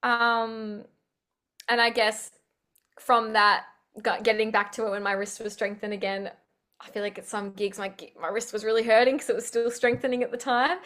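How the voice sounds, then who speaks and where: A woman's voice: on the high side, flat delivery, normal speed, a bit bright. A young woman chatting in an interview over a video call, upbeat and full of fillers. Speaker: singer-songwriter guest. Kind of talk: casual interview chat.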